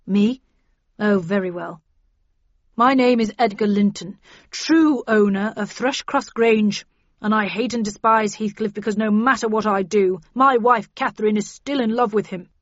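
A woman voicing an indignant, jealous gentleman in a formal, emphatic manner for a story. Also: medium pitch, unhurried, no echo, dull audio, close to an everyday voice.

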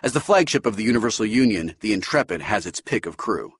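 A man's voice: fairly low pitch, expressive, slightly rough, dry recording. A man playing a stiff, formal senior science officer. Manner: formal, measured, precise phrasing.

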